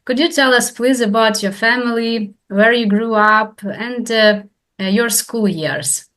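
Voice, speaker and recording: A woman with a fairly high voice hosts an interview podcast. She opens in a polite, formal way and asks her questions with small hesitations. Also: unhurried, a bit bright. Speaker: podcast host. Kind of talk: interview hosting.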